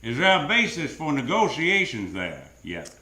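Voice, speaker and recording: Casting: male actor as cool hard-boiled gumshoe for old-time radio, dry wit, deadpan delivery, live roomy stage sound. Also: a little high, moderate pace, full character voice. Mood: cool, wry. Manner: deadpan, sardonic. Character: hard-boiled wisecracking private detective, cool and sardonic. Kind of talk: live 1940s-style radio drama acting and narration. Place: theater stage.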